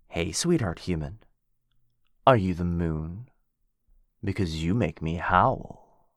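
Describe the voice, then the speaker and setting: A man's voice: deep voice, no echo, clean studio sound, exaggerated voice. A man playing a cocky, teasing werewolf. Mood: smug. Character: cocky, playful male werewolf rival. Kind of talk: boyfriend ASMR roleplay.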